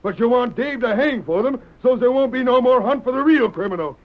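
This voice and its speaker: Gravelly American outlaw, high-pitched, vengeful, rustic drawl, radio western, dull old recording. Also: male voice, expressive, a little fast. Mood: accusing. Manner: rustic drawling speech, threatening. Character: hot-tempered, vengeful Western outlaw, man, scheming and bitter.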